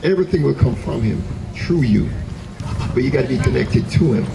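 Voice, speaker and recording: A young man from Jamaica speaks gently into a handheld mic, pausing often as he preaches about self-belief. His voice is gravelly and mid-pitched, with noticeable outdoor noise around it. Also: lively intonation. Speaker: author. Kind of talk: motivational speech. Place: outdoor stage under a tent. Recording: noisy outdoor camera recording.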